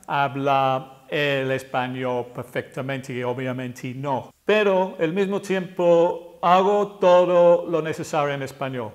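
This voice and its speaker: A man in his sixties from England, an English teacher with an English accent, talking casually to camera, often pausing and correcting himself. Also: a little high. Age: 60s. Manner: hesitant, self-correcting, plain-spoken.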